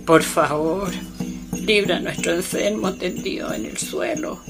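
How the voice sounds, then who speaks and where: An actress playing an elderly rural healer woman in a Chilean radio drama, with a Chilean accent and halting, solemn, insistent speech. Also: on the low side, music in the background. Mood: pleading incantation. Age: older. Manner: halting, rustic, urgent incantatory speech.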